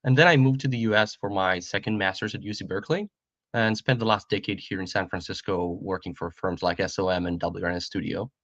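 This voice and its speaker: Casting: man in his forties from Greece, lowish voice, friendly guest, muffled dry call audio. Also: fast talker, fairly bright tone, no echo. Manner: friendly, repeats words, laughs between points. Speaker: guest, startup co-founder. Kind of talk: interview conversation.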